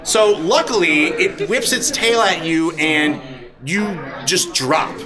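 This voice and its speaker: A man acting as game master, improvising narration and rulings in a halting way at a live tabletop game with a crowd around. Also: fairly high pitch, expressive. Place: large convention hall. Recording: live convention hall, loud audience chatter. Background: crowd noise.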